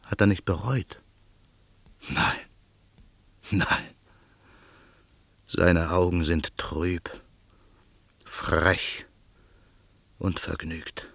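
A grim, gravelly avenger. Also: male voice, deep voice, fairly even intonation, fairly bright tone, dry recording, exaggerated voice. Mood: brooding, tense. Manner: slow, brooding inner monologue. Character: grim man plotting revenge, brooding. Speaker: narrator and lead character. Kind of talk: radio play acting.

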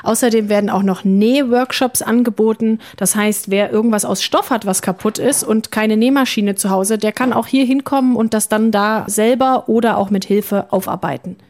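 A woman's voice: on the high side, moderate pace, hardly any pauses, darkish tone. A female reporter describing a shop on site and asking questions. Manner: descriptive, walking through a shop. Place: inside a shop.

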